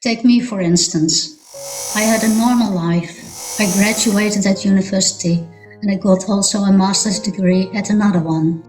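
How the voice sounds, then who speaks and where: Middle-aged woman, medium pitch, first-person narration, personal testimony, clear music bed behind. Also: steady tone, normal speed, a little mellow, rough recording. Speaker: narrator telling own life story.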